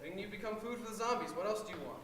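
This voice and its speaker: Woman acting deadpan survival expert in very echoey hall. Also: medium pitch, rapid-fire, close to an everyday voice. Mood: exasperated. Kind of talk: amateur school stage comedy acting. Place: school theater stage, distant microphone. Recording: very noisy echoey hall, distant.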